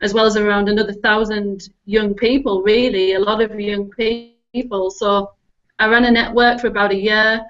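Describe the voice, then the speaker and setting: A woman with a Northern English accent tells her story in a chatty, easygoing way. Her pitch sits in the middle range, and she fills pauses with ums. The live stream audio is a little dull and muffled. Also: level tone, a bit bright. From Northern England. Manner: chatty, laughs easily, many ums. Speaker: guest. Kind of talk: relaxed interview talk.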